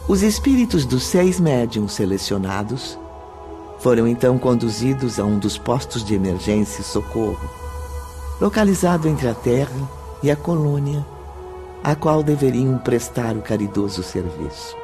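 A man's voice: medium pitch, animated, moderate pace, dark tone. A man with a Brazilian accent narrating a radio drama in a solemn, formal storytelling manner. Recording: background music under the voice.

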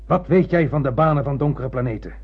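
An actor playing an obsessed old blind spaceship captain in a radio play, with a raspy, brooding, declamatory delivery. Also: male voice, mid-range voice, fast. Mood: brooding, visionary. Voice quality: husky, raspy. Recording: muffled lo-fi radio play recording.

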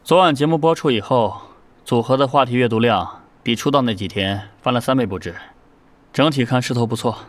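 An actor playing a businesslike, matter-of-fact manager of an idol group, in an audio drama. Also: male voice, mid-range voice, fairly quick, slight background noise, lightly acted.

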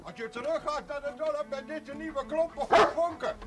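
A man's voice: extremely high pitch, normal speed. An actor playing a terse, suspicious old male villager who gives short, guarded answers. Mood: curt, dismissive. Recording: TV drama soundtrack with music behind.

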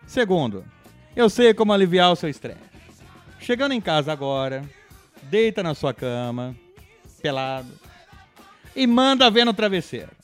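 A man's voice: sits high, big pitch swings, normal speed, pauses often, faint music behind. A man playing a teasing guy giving crude advice in an amateur comedy audio drama. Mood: mocking advice.

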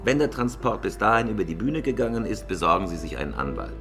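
A man's voice: fairly low pitch, big pitch swings, quick pace, over music. A man playing a cool, calculating career criminal in a crime radio drama, curt, commanding and matter-of-fact.

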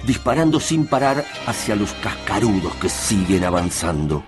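Deep solemn male radio drama narrator. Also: big pitch swings, normal speed. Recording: clear music under the voice.

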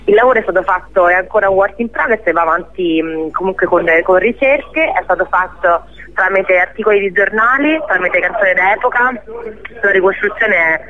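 A woman's voice: mid-range voice, normal speed. Tinny telephone audio, female interview guest, effusive, casual promotional talk. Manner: effusive, enthusiastic. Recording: narrow tinny phone line.

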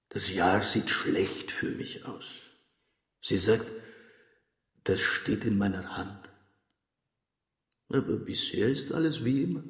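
An actor playing a gloomy, absurd, vodka-drinking interrogator in a radio drama, deadpan and resigned. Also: male voice, lowish voice. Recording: roomy, reverberant studio drama scene.

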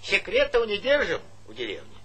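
An actor playing a talkative, teasing old village pensioner with folksy speech in a stage comedy. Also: male voice, sits high, huge pitch swings, fairly quick, fairly bright tone, husky, exaggerated voice. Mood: preachy, lively. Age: older. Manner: garrulous, folksy, needling, chuckling interjections. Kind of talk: Soviet stage comedy acting. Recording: noisy 1970s theatre recording.

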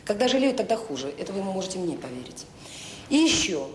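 An actress playing a devoted, earnest director of a children's home, speaking in short emphatic phrases in a naturalistic radio drama. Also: female voice, very animated, quick pace, natural voice. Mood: earnest, persuasive. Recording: roomy sound with faint noise and rumble.

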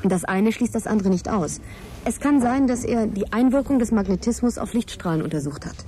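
An actress playing a guarded, sceptical middle-aged scientist in a naturalistic radio drama, direct and questioning in conversation. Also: female voice, mid-range voice, lively intonation, fairly quick, mellow, natural voice. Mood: reflective, matter-of-fact. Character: guarded divorced female scientist, sceptical, frank, wary. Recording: crowd chatter behind, café scene. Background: café crowd chatter.

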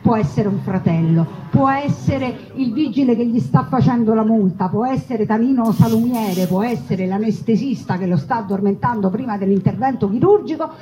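A woman with a Roman Italian accent performing a comic storytelling monologue, wry, with emphatic repetition and dramatic pauses. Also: fairly high pitch, warm tone. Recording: dull live recording with background noise.

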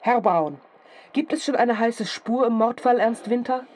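An actress in a radio play as a sensation-hungry news reporter, announcing in a formal, measured, dramatic manner. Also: female voice, fairly high pitch, darkish tone. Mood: probing.

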